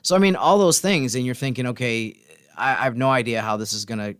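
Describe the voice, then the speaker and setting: A man's voice: medium pitch, fast talker, fairly bright tone, smooth, no echo, clean studio sound. A man co-hosting a podcast, talking sports in a casual, conversational way. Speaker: podcast co-host, sports reporter.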